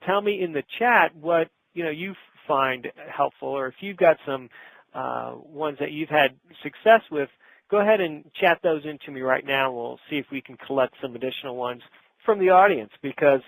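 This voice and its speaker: A man's voice: a little high, normal speed, creaky, a bit bright, no echo, poor audio. Middle-aged man presenting a webinar, dry sound. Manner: conversational, instructive, addresses the audience directly. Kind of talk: informal business webinar presentation.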